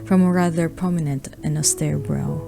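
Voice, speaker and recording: A woman narrating a radio drama, reading scripted literary prose in a measured way. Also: sits low, steady tone, vocal fry, over music.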